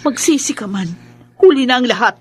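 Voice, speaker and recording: A woman's voice: a little high, animated, a little fast, lightly acted. A woman playing a wronged young heiress, emotional and dramatic, in a Filipino radio drama. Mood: furious, bitter.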